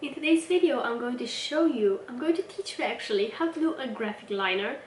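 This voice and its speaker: A woman's voice: fairly high pitch, animated, brisk, slight background noise. Cheerful woman with a stuffy cold. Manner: chatty, talks while working at a mirror. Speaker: makeup tutorial host. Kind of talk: casual step-by-step makeup tutorial. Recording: roomy, mic at a distance, music underneath. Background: background music.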